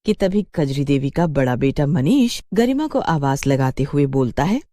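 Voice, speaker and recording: Low, mellow, animated woman storyteller. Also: normal speed, dry recording, studio quality. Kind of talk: dramatized scripted story narration.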